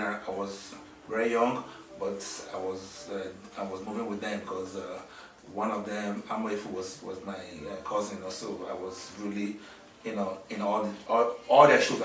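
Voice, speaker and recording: A middle-aged man from Nigeria talks slowly in a lowish voice, stopping and restarting often as he answers an interviewer. He sounds set back from the mic in an echoey room. A faint hum of ambience runs behind him. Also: flat delivery, distant mic. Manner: halting, many fillers and restarts. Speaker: interviewee, businessman.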